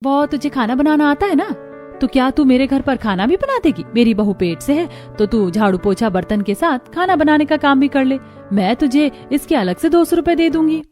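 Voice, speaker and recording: An older lady of the house , acted by a woman, with a high voice. She is practical and bossy in a dramatized moral story, with music underneath. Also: lively intonation, normal speed, darkish tone. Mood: concerned, offering.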